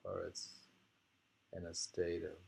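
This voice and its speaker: Slow, gravelly man musing over poetry. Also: deep voice, monotone, darkish tone, a little hiss or hum. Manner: halting, musing. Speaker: reader and host of poetry readings. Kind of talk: casual poetry reading and discussion.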